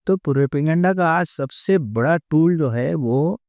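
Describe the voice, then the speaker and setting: A man's voice: a little high, animated, a little slow, darkish tone, no room sound, clean studio sound. A man with an Indian accent giving his opinions as an interview guest, conversational and emphatic, repeating key phrases.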